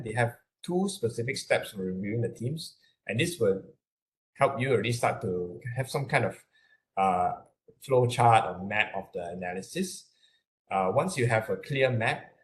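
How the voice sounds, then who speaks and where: A man with a Malaysian accent lectures in a fairly low voice. He explains things step by step as an academic would in a webinar, in a halting way, often repeating words as he searches for the next phrase.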